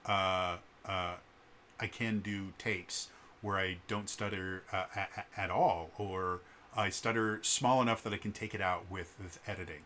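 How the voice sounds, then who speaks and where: A man with a stutter talks straight to the camera in an unscripted vlog, earnest and full of restarts. His voice sits fairly low and carries a bright, forceful edge. Faint background noise hangs behind him. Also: steady tone, a little slow. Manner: earnest, frequent fillers and restarts. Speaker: vlogger.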